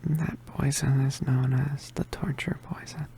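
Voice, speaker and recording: A man playing a badly injured, weary young male witch in an ASMR roleplay, murmuring haltingly in a weak, pained voice. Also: medium pitch, fairly even intonation, creaky, warm tone. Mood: weary, explaining. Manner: halting, hesitant, murmured, understated. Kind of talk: ASMR roleplay, soft intimate whispering.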